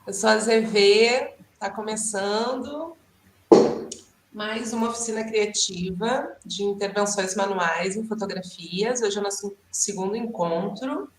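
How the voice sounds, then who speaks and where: A Brazilian woman hosting an online workshop on a video call, chatty and friendly, often pausing with fillers. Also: mid-range voice. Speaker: workshop host and artist. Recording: echoey room.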